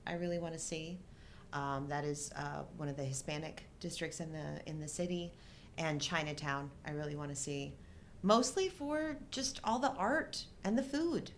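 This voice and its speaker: A middle-aged woman chats as co-host of a casual live show. Her voice sits slightly low, and her banter is playful and agreeable. There is some noise and low rumble in the recording. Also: normal speed.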